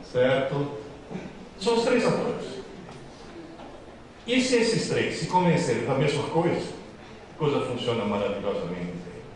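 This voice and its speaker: A man gives a joking, teasing lecture to an audience of students and parents. His fairly high voice swings widely in pitch as he tells his stories. The sound is very echoey and distant, as if caught from far back in a large hall. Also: a little hiss or hum. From Italy. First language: Italian. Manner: joking, teasing, lively storytelling.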